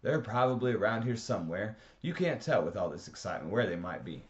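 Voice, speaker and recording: A man voicing a good-natured grown man who talks loud and reassuring, on a dull lo-fi recording. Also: fairly low pitch, animated, quick pace, natural voice. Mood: hearty. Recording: dull lo-fi audio.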